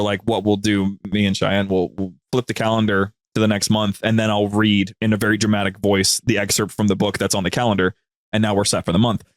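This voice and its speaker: A man's voice: lowish voice, dry recording. A man chatting casually as a podcast guest, telling stories in an informal, rambling, slangy way. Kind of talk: casual, anecdotal chat.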